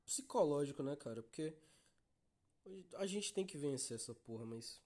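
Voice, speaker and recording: Weary, husky-voiced Brazilian man. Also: fairly high pitch, lively intonation, normal speed, darkish tone. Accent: Brazilian Portuguese. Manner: weary, downcast, heavy swearing, addresses listeners as guys. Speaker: podcast host. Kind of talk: solo confessional podcast monologue.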